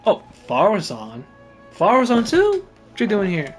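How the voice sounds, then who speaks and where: A man reacting casually to a game as he streams, informal, halting and repeating himself as he talks back. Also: high voice, huge pitch swings. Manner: informal, halting and repetitive when arguing back. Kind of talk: casual live gameplay reactions. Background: game music.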